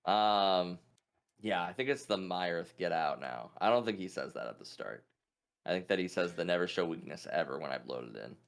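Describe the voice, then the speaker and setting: A man chatting casually as a quiz contestant, musing out loud in an informal way. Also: low-pitched, expressive, normal speed, gravelly. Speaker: guest contestant, gaming YouTuber. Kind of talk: casual chat, thinking aloud.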